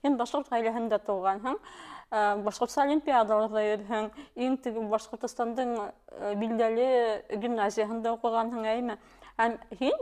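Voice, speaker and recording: A woman's voice: fairly high pitch, steady tone, normal speed, darkish tone. A woman hosting a podcast, asking interview questions and switching between two languages.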